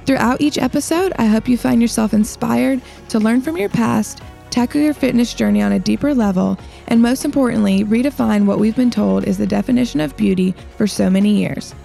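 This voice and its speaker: A young woman with a light Southern accent hosting a casual podcast, upbeat and quick to laugh. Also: fairly high pitch, vocal fry, dark tone, faint music behind. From Illinois. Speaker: podcast host.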